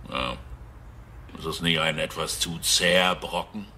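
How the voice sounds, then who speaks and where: An actor playing a bullish, crude company boss in his fifties in an audio drama, with a rough, husky voice and blunt manner. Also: very deep voice, measured pace, bright, forceful tone, a little hiss or hum, heavily acted. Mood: gruff, skeptical. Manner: blunt, coarse. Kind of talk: German audio drama acting.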